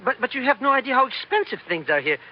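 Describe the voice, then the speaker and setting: An actor playing an excitable, bumbling comic sidekick with a flustered, over-eager manner in 1940s radio style. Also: male voice, very high-pitched, quick pace. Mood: flustered, defensive. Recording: muffled, noisy old radio recording.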